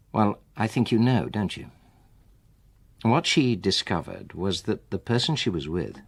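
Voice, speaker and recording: An actor playing a wronged, coldly sarcastic husband in a radio drama, with a dry, deliberate manner. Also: male voice, low voice, slightly rough, full character voice. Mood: cold, accusing. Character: wronged husband, former rugby man, coldly sarcastic and controlled. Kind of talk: naturalistic radio drama acting.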